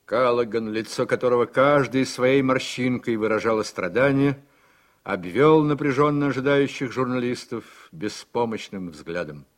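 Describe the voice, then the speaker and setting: A man's voice: mid-range voice, moderate pace. A man reading scripted narration as the narrator of a radio drama.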